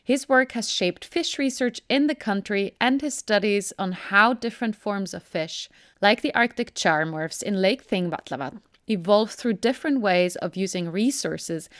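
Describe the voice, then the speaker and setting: A woman's voice: medium pitch, normal speed, hardly any pauses, no echo, clean studio sound. A woman hosting a podcast, reading a scripted introduction in an upbeat, enthusiastic way. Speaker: podcast host.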